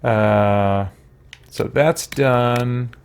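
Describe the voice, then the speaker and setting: A man's voice: medium pitch, very slow, a little hiss or hum. A man giving casual game playthrough commentary, rambling and thinking aloud as he goes. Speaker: gaming streamer. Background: quiet music.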